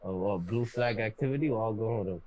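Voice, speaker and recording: A man's voice: fairly low pitch, fairly quick, a crowd in the background. A man in a casual interview, talking in a laid-back way with loose street slang and throwing questions back at the interviewer. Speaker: interview guest in a played clip.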